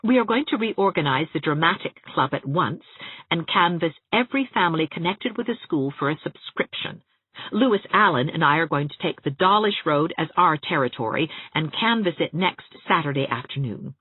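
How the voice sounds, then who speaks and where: A woman's voice: deep voice, animated, normal speed, breathy edge, dry recording. A woman narrating an audiobook, reading scripted story prose expressively. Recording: narrow, phone-like audiobook sound.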